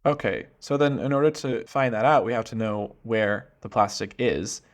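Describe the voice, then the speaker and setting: A man hosts a podcast, first reading a prepared introduction and then putting questions to his guest. He speaks clearly and earnestly, with a friendly manner. His voice has an average pitch for a man. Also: normal speed. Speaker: podcast host. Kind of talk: scripted intro and interview questions.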